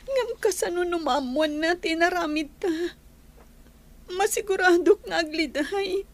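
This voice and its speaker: An anxious young woman in an Ilocano radio drama, with a very high voice. She pleads tearfully, fretting over a secret love. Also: unhurried. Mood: worried, pleading.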